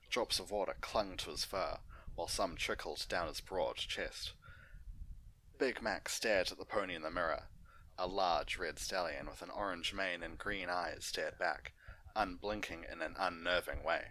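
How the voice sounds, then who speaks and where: A man narrates an amateur story reading in a low-pitched voice. His tone is bright and forceful, and he delivers the prose as a host reading aloud to listeners. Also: slight background noise, poor audio. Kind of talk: amateur fan fiction narration.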